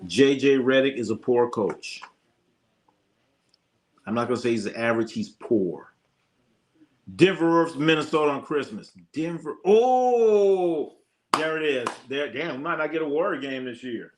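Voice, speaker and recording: A man's voice: medium pitch, moderate pace, pauses often. Male sports stream host, American, mid-range voice, blunt and slangy, conversational, hammers points by repetition. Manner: blunt, slangy, repeats phrases for emphasis. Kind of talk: casual live sports commentary, opinionated chat.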